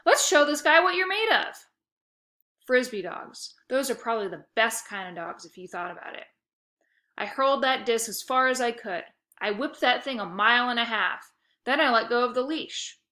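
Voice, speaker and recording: A woman reading a children's novel aloud, her voice bright and forceful. She sits a little high in pitch and tells the story in a lively, expressive way. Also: moderate pace. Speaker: reader.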